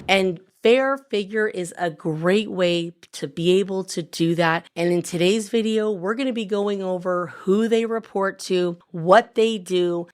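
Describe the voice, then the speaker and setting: A woman in her thirties, a credit coach, explaining points one by one in an upbeat pitch while a bit hoarse from recovering her voice. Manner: upbeat sales-pitch delivery, lists points by number. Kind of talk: direct-to-camera explainer.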